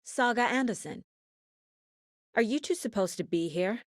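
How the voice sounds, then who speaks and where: An actress playing a determined, focused female FBI agent in a video game, with a serious, measured investigator's delivery. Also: on the high side, expressive, dry recording, clean studio sound, lightly acted. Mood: businesslike. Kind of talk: scripted video game dialogue and inner monologue.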